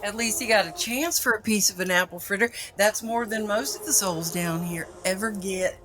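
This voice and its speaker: A woman's voice: medium pitch, normal speed, noisy background, natural voice. Woman playing sugary, menacing overseer of the dead. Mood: mockingly sweet. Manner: sugary, coaxing, mock-friendly. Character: sweetly menacing female supernatural overseer of the dead. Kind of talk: audio drama acting.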